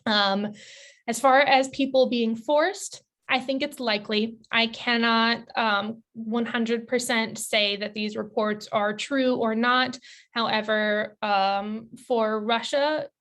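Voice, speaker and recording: A woman answering audience questions as a presenter, in a measured, explanatory, careful way with hedges. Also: fairly high pitch, a bit bright. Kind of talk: informative Q&A talk, semi-formal.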